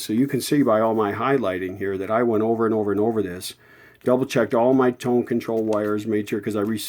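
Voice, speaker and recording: A man's voice: medium pitch, darkish tone. A man giving a casual, unscripted repair walkthrough in a folksy way, thinking aloud and correcting himself as he goes. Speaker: radio repair hobbyist and video host.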